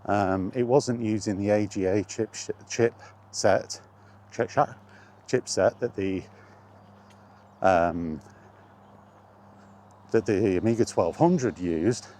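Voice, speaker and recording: A man's voice: on the low side, slow, long pauses, dark tone. A man talking in a casual, rambling monologue, reminiscing and thinking aloud as he goes. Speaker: host of a gaming chat vlog.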